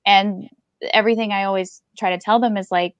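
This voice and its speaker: A woman's voice: mid-range voice, fairly even intonation, a little fast, smooth, dry recording. A woman co-hosting a casual discussion, encouraging and emphatic, recorded with dull, lo-fi sound. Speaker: podcast co-host and book editor.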